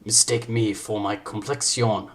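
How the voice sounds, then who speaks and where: A man's voice: mid-range voice, sing-song, takes it slowly. A man playing a proud foreign prince wooing a lady, declaiming Shakespeare grandly in an amateur stage reading. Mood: solemn wooing.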